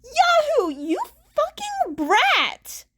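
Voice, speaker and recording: A woman acts out a female rabbit hero in a narrated story. She is brash and fierce, her voice thrown up high and loud. She talks bluntly and forcefully. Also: huge pitch swings, extremely slow, heavily acted. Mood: furious.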